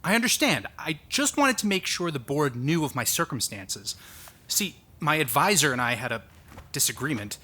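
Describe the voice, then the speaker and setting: Bright, exasperated young man, very lively pitch. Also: moderate pace, exaggerated voice. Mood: pleading. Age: young adult. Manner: sarcastic, skeptical. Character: exasperated, bitter young archaeology scholar, estranged brother. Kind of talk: audio drama acting.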